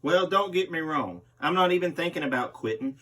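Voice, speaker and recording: A man's voice: on the high side, animated, brisk, a bit bright. A man reading a magazine interview aloud as a host, voicing the interviewee's part. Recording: distant microphone.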